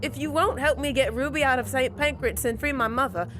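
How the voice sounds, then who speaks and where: A woman's voice: high-pitched, a little fast, fairly bright tone, soft background music. A woman playing a headstrong, bitter, angry street girl in an audio drama, speaking in a blunt, challenging way.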